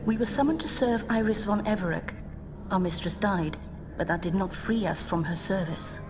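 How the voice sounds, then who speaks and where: An actress playing an aloof, sombre spirit servant in a video game, speaking in formal, measured, old-fashioned phrases. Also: female voice, medium pitch, noisy background, lightly acted. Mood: formal, grave. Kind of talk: video game cutscene acting. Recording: music under the voice.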